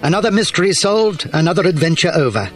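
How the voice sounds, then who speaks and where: A man's voice: fairly high pitch, animated, fast talker. A man narrating a children's story from a script in a dramatised audiobook. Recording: music behind the voice. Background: instrumental music.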